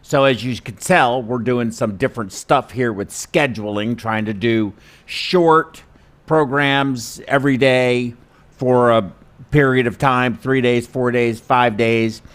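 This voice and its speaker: A man hosting an informal instructional webinar, talking in a chatty, self-deprecating, halting way. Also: mid-range voice, clear, resonant tone. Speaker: host and perfusionist instructor. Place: studio.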